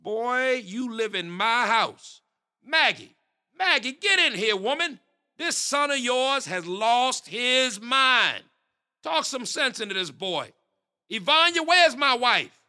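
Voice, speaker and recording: A man's voice: sits high, expressive, moderate pace, pushed and bright, full character voice. An actor playing a stern, easily riled middle-aged pastor and father who scolds in an exasperated, blustering way. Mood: outraged.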